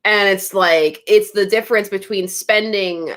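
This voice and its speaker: A woman's voice: mid-range voice, fairly even intonation, a little slow, a bit bright. Giggly Canadian woman chatting on a livestream. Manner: giggly and chatty, laughs often, drifts off topic. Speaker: YouTube livestream host. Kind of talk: casual livestream chat reading viewer comments. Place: home studio set.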